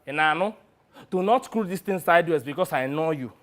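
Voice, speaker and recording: Man acting an animated, brash Nigerian talent agent. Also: a little high, moderate pace. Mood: boastful, jovial. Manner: animated, exclamatory, cajoling. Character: brash, wheedling, money-minded Nigerian talent agent, male. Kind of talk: one-person dramatic monologue.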